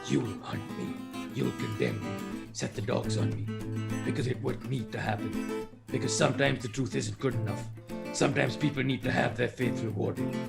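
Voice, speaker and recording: A man playing a brooding, weary, self-sacrificing masked vigilante with a gravelly voice, in an amateur dramatic table read. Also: mid-range voice, normal speed. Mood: grim, resolute. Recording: loud guitar music behind the voice.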